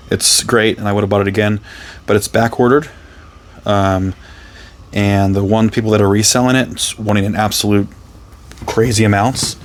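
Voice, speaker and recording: Man, hoarse and raspy, lowish voice, rambling reseller vlog, faint room hum behind. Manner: rambling, lots of ums and self-corrections. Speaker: reseller vlogger. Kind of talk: casual vlog chat. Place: his resale booth and home workspace.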